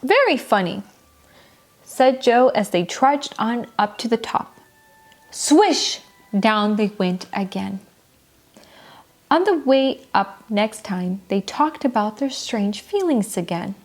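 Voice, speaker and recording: A woman narrating a children's bedtime story in an expressive, deliberate storybook style, with music behind her. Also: medium pitch. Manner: animated, deliberate storybook delivery. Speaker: storyteller, children's show host. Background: background music.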